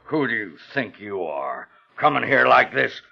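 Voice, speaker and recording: A fat, sweaty blackmailing gumshoe in a vintage radio thriller. His delivery swings widely and has a gravelly edge. He blusters irritably, all on a muffled old recording. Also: medium pitch, sing-song, measured pace, heavily acted. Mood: blustering, threatened. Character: fat, sweaty blackmailing private investigator, gruff and defensive. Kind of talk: 1950s radio drama acting.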